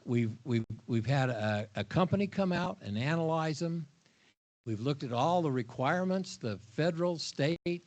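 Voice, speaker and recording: Older man speaking frankly at a town hall. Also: medium pitch. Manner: candid, frank, stammering restarts. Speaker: HOA board member. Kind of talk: town hall meeting remarks.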